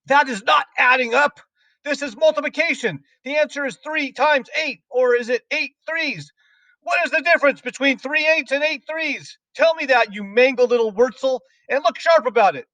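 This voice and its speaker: Man acting a tyrannical older headmistress, very high shrill shouting, bullying, furious, storybook villain, swooping delivery. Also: big pitch swings, normal speed, few pauses, dry recording, distant mic, heavily acted, poor audio. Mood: enraged.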